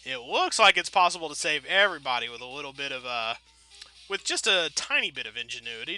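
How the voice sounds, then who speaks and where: A man with a very bright, forward voice on the higher side hosts a gameplay video. He talks casually and rambles playfully, tossing in folksy mild exclamations. Game music plays behind him.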